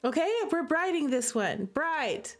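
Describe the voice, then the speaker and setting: Female game streamer, high and very lively voice, gushing and playful, chatting casually over soft music. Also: unhurried. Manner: emphatic, repeats "okay" and "listen" as tags. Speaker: game streamer reacting with live chat. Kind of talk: casual livestream commentary.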